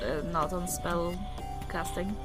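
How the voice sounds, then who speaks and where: A woman's voice: fairly low pitch, steady tone, takes it slowly, soft background music. A woman playing a tabletop game, chatting casually and playfully.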